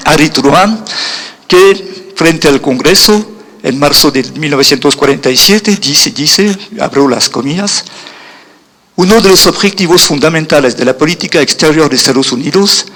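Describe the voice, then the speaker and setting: Brief: middle-aged man, guest lecturer, slightly high voice, halting and searching for words. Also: fairly bright tone, poor audio. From Europe. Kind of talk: keynote lecture.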